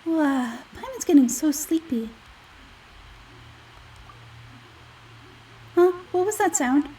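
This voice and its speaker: A woman voicing a small, childlike, excitable fairy guide from a video game, whiny and exclamatory, speaking of herself in the third person. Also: high voice, moderate pace, recorded from a distance, slight background noise, rough recording. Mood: sleepy, then alert.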